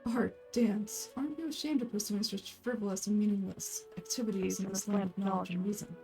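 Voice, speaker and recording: Woman as haughty scholar-official, fantasy game, stern, pompous, formal, clipped, mellow timbre. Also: mid-range voice, fairly even intonation, brisk, gravelly, soft background music, natural voice. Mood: contemptuous.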